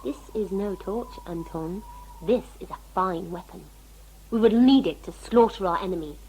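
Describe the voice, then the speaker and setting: A woman's voice: mid-range voice, moderate pace, breathy edge, poor audio. A woman playing a stern, warlike warrior in an audio drama, formal and commanding. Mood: haughty. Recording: very loud music under the voice.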